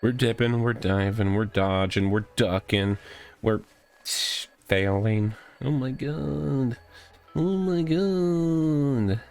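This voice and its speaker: A man's voice: mid-range voice, slow, a little mellow. A man giving casual, wry gaming commentary, muttering exclamations as he plays. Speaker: let's play host. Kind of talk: casual gaming commentary, reading game text aloud. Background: game music.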